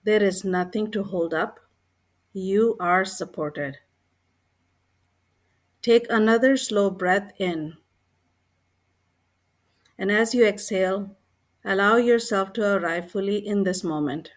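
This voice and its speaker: Calm woman guiding a meditation. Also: mid-range voice. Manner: calm, gentle, unhurried, measured short phrases with pauses. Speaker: guided meditation teacher. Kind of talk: scripted guided meditation narration.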